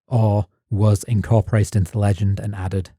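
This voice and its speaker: A man co-hosting a podcast, reading his prepared notes as measured narration. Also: on the low side, level tone, dark tone, no echo, studio quality. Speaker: podcast co-host. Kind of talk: scripted narration.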